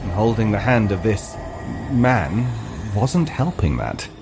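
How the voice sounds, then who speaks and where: A man's voice: fairly low pitch, big pitch swings, takes it slowly, music in the background. A man reading scripted fiction as narrator, in a dramatic comic storytelling style. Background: ambient sound effects.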